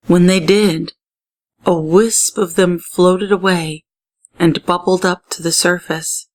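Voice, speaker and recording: A woman storyteller with a slightly low voice reads aloud expressively. She takes her time, very slow and deliberate, leaving dramatic pauses between phrases. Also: poor audio.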